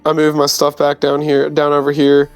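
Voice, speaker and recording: A man's voice: fairly high pitch, monotone, moderate pace, clear, resonant tone, dry recording, soft background music. A man chatting casually as a player in a tabletop roleplaying game.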